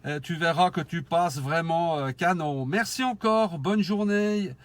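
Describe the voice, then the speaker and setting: A man's voice: on the high side, measured pace. A man chatting casually and courteously as an amateur radio operator, with friendly exclamations. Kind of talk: casual radio contact chat with call signs.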